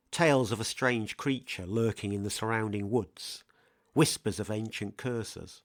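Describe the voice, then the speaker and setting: A man narrating a scripted true-crime mystery in a measured, dramatic, portentous manner. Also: lowish voice. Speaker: narrator, host.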